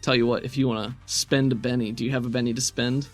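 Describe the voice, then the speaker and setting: A man's voice: medium pitch, little pitch movement, fast. A man running a tabletop game as game master, switching between descriptive narration and casual rules talk, encouraging his players. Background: background music.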